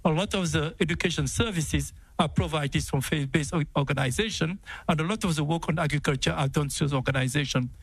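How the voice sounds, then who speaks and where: Gravelly, husky Senegalese man. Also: fairly high pitch, dry recording. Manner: halting, repeating words. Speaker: development bank executive on a panel. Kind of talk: answering an audience question at a town hall.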